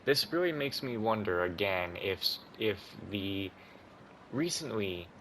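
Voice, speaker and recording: A man's voice: on the low side, lively intonation, measured pace, slight background noise, low-quality recording. A man casually commenting on a game playthrough, with dry, wry asides. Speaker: gameplay commentator. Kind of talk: casual playthrough commentary, reading lines aloud. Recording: voice over loud game music.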